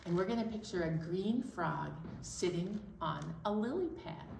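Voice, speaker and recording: A woman leading a children's storytime as a librarian, speaking in a gentle, slow, encouraging way to young children. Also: on the low side, animated, vocal fry, echoey room, far from the mic, a little hiss or hum. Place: library room.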